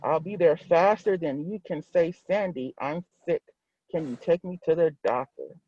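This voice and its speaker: A man's voice: on the high side, normal speed, a little mellow, dry recording, highs cut off, close to an everyday voice. A man voicing a playful, helpful female squirrel friend from a storybook in a teasing manner.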